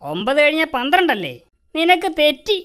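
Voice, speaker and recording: A woman's voice: sits high, sing-song, moderate pace, a bit bright. A woman voicing a foolish, pompous, stingy male boss in a children's cartoon, exaggerated and gloating. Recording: music behind the voice.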